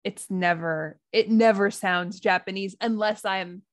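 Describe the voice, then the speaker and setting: A woman's voice: medium pitch, steady tone, measured pace. Chatty American woman, laughing as she talks. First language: English. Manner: chatty, laughs often, thinks aloud. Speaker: podcast co-host. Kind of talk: casual conversational podcast.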